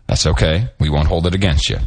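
A middle-aged man co-hosting a casual podcast chat, rambling and animated, with many restarts. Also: a bass voice, quick pace, vocal fry, husky. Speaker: podcast co-host.

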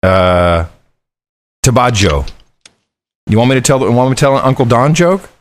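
Lively rambling male podcast host. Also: medium pitch, moderate pace. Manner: rambling, animated storytelling. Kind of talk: casual chat.

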